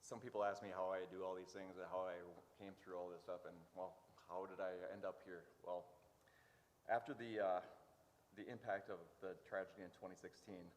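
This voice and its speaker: A man being interviewed as a guest, speaking informally in a halting, hesitant way with many pauses. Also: deep voice, little pitch movement. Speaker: interview guest, church worker. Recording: echoey hall, noticeable background noise.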